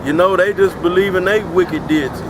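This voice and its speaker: Male street preacher, Texan, high pitch, argumentative; outdoor street recording with heavy traffic noise. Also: steady tone, a little fast. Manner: combative, repeats and restarts a lot.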